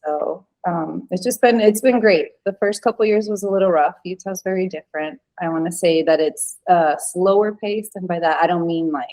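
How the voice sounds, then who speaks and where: A woman in her thirties, originally from Venezuela, talking easily as a guest on a podcast. Her voice sits a little low, and her speech is loose and full of "um"s and restarts. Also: moderate pace, hardly any pauses, a little mellow, poor audio. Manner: chatty, lots of fillers and restarts. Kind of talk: casual interview chat.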